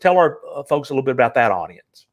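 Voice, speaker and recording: A man's voice: mid-range voice, very animated, quick pace. Rambling older male interview host. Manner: rambling, many fillers and restarts. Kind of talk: casual interview chat.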